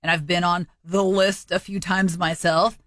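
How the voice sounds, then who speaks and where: A woman's voice: lowish voice, little pitch movement, measured pace, a bit bright, no room sound, clean studio sound. A woman co-hosting a casual chat podcast, speaking in a frank, emphatic way. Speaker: podcast co-host.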